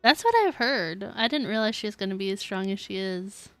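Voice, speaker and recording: A woman's voice: mid-range voice, animated, a little fast, dry recording. A woman livestreaming a video game, chatting casually and reacting as she goes. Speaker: game streamer. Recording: game music behind the voice.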